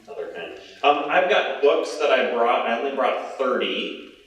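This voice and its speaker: A man gives a presentation to a meeting room, loud and chatty, often restarting his sentences. He sits well back from the microphone. The room rings with heavy echo. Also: medium pitch, animated, normal speed. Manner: loud, conversational, with frequent restarts. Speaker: presenter on investment strategy. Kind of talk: conference presentation. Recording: echoey room from far off.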